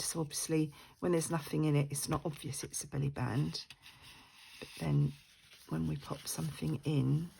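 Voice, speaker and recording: Croaky older woman crafting. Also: low voice, a little hiss or hum, rough recording. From United Kingdom. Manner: chatty, thinking aloud while working. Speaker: junk journal crafter. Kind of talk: chatty crafting tutorial. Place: craft desk at home.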